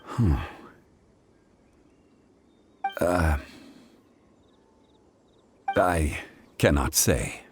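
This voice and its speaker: A deep-voiced, solemn ancient god. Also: male voice, animated, a little hiss or hum, exaggerated voice. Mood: regretful, restrained. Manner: measured, formal, grave. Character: ancient, calm, solemn god in human form. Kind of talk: dramatic video game voice acting. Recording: studio voice under clear music. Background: game music.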